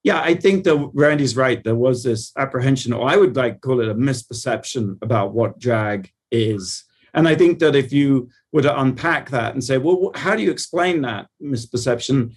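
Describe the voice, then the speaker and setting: A man's voice: mid-range voice, lively intonation, normal speed. A man speaking as a television producer in an interview, giving articulate, reflective and wordy answers in a conversational way.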